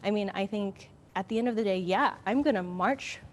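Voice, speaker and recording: A woman's voice: mid-range voice, normal speed. Firm woman with faint background noise. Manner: firm, emphatic, conversational. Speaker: panel guest. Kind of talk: panel discussion, conversational.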